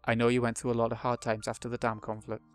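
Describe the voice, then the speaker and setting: A man's voice: medium pitch, monotone, fast, smooth, soft background music, lightly acted. A man voicing an earnest, eager boy reassuring a friend.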